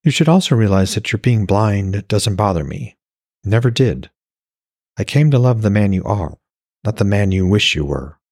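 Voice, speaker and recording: Man voicing a gentle, earnest young woman. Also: lowish voice, expressive, moderate pace, no echo, studio quality. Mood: tender, overwhelmed. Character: gentle young woman, loving, sad yet resolute. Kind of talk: fantasy audiobook character dialogue.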